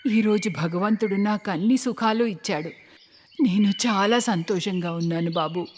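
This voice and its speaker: An emotional, forgiving mother from a cartoon moral tale, played by a woman, speaking with dramatic feeling. Her voice sits at a middling pitch, in a long hall reverb with clear background noise. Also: moderate pace, darkish tone, natural voice. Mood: grateful, happy. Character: Karuna, emotional, devout, forgiving mother who sells momos. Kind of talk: dramatic cartoon story dialogue.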